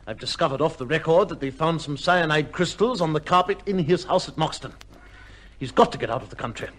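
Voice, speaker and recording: An actor playing a shrewd, measured gentleman adviser in an old radio drama, speaking formally and firmly. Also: on the high side, close to an everyday voice. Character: cool, shrewd gentleman adviser to an accused judge.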